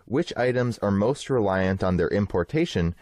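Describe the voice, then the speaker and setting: A male interview host reading out a viewer's question in a measured way. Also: on the low side, lively intonation, darkish tone, no echo.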